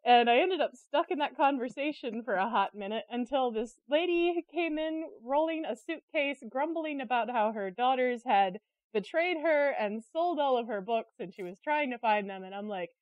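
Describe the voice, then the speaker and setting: A woman with a fairly high voice chats like a guest swapping stories, giggly and informal. The recording is close and dry but slightly dull and lo-fi. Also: moderate pace, no echo. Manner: giggly, chatty, animated storyteller. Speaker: interview guest, creative director and artist. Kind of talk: casual conversational storytelling.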